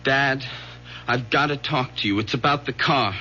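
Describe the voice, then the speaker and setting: An actor playing an anxious, guilt-ridden young son, stammering and earnest, in old-time radio drama style. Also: male voice, mid-range voice, normal speed, bright, forceful tone. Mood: guilty, panicked. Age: young adult. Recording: dull, hissy old radio recording.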